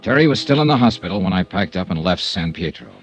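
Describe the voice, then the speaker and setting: An actor playing a hard-boiled insurance investigator, clipped and firm, in a 1950s radio drama with narration. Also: male voice, low-pitched, sing-song, fast, soft background music. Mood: narrating.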